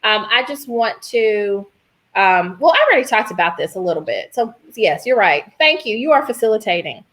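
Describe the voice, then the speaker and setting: Emphatic woman professor with mid-pitched voice. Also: sing-song, normal speed, a bit bright. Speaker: professor, guest speaker. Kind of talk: informal panel discussion.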